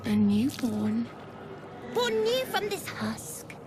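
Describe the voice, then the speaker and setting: A woman voicing an eerie, childlike newborn brain creature that pleads in short, halting, repetitive phrases for a video game. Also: a little high, sing-song, noisy background, heavily acted. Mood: eerie, fearful. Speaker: game character.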